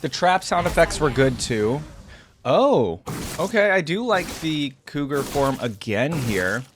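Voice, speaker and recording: A man's voice: on the high side, very animated, a little slow, a little hiss or hum. A man giving casual reaction commentary as a video host, musing and thinking aloud. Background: game music and sound effects.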